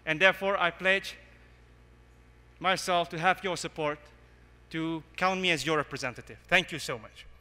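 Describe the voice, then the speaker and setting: Earnest young man, bright rallying speech. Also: fairly high pitch, moderate pace, clear, resonant tone. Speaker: candidate giving a campaign speech. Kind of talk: prepared speech at a podium. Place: large conference hall. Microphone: podium microphone. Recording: long hall reverb.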